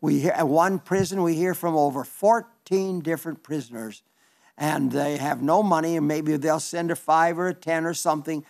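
A man's voice: a little high, fairly even intonation, moderate pace, creaky, darkish tone. An elderly man preaching a folksy radio sermon, reading listener letters with chatty asides and small stumbles. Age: older.

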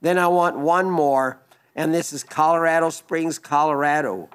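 A man in his nineties giving a broadcast sermon, reading listener letters in a gentle, rambling way with frequent restarts. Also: fairly high pitch, normal speed, clean tone. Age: 90s. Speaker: radio preacher.

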